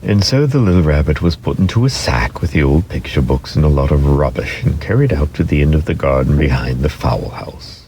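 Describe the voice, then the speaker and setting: A man narrating a children's storybook as an expressive, theatrical dramatic reading. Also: very deep voice, fairly quick, few pauses, slight background noise.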